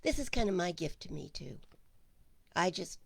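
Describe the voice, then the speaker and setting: A woman minister hosting a casual online service, chatting with viewers and greeting them by name, her voice hoarse and gravelly. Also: lowish voice, big pitch swings, moderate pace, dry recording. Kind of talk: casual livestream chat with viewers.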